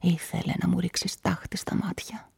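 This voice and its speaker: An actress narrating as a woman suspected in a crime, in a hushed, whispery inner-monologue manner, in a radio play with a music bed. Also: lowish voice, level tone, creaky, breathy edge, no room sound, heavily acted. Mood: anxious. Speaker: narrator and witness.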